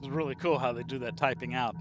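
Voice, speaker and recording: A man's voice: medium pitch, steady tone, fast talker, over music. A man hosting a reaction show, chatting casually in an easygoing way.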